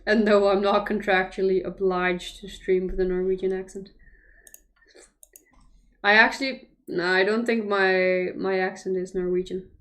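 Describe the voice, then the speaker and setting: A woman's voice: medium pitch, level tone, normal speed, a bit bright. A young woman from Denmark, commentating chess live on a stream and thinking aloud over the moves with hesitant restarts. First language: Danish.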